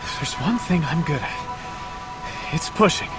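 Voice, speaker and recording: An actor playing a good-natured, gently stubborn young man in a video game, halting and wry, with music behind him. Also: on the high side, animated, rough recording. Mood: earnest. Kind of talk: video game cutscene acting.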